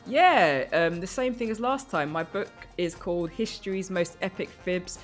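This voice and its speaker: A woman riffing casually as a comedy podcast guest, thinking aloud in a halting way. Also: lowish voice, soft background music.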